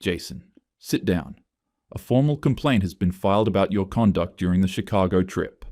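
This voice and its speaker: Man as clipped, low-voiced female HR investigator. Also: expressive, normal speed, dark tone, dry recording, studio quality. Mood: cold, official. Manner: clipped, officious. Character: self-assured, cold female human resources investigator.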